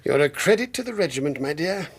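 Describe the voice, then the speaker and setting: An actor playing a proud, blustering older English colonel in a radio drama, with a hearty, pompous military manner of telling things. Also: male voice, on the high side, animated, fast, slightly rough. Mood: fond, possessive. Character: proud, blustering older British army colonel, doting husband.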